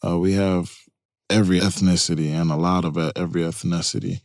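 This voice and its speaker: A man's voice: sits low, fairly even intonation, brisk, darkish tone, no room sound, clean studio sound. A man from Chicago who spent part of his upbringing in Nigeria, speaking conversationally and emphatically as an interview guest, explaining as he goes. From Chicago, United States, partly raised in Nigeria.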